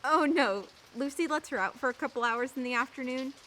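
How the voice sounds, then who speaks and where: An actress playing a curious young woman neighbor who asks lively questions. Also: high voice, brisk. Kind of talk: radio play acting.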